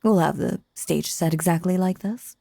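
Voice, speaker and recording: A sinister, possessive magician acted by a woman speaks in a low, creaky, velvety-dark voice. The delivery is theatrical and coaxing, sweetly menacing, often sinking into breathy whispers for an intimate roleplay audio. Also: no echo, studio quality. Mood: gleeful, scheming. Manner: theatrical, coaxing, drawn-out phrasing with dramatic pauses.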